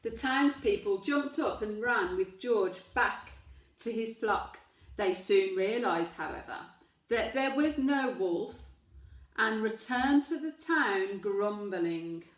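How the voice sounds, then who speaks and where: A woman reading a children's story aloud with emphatic, deliberate delivery, addressing questions to young listeners. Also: medium pitch, lively intonation. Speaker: primary school storyteller. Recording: very echoey room, distant microphone.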